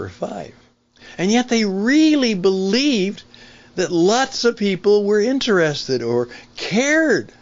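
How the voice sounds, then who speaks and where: An older man hosting an opinion podcast, speaking off the cuff in a deliberate, emphatic way and repeating phrases for stress. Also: mid-range voice. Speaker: podcast host, veteran broadcaster. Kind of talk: unscripted opinion monologue. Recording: dull lo-fi sound.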